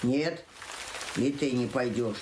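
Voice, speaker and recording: A woman voicing a gruff, steady male ant who grumbles in a low, unhurried voice. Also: noisy background. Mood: grumbling.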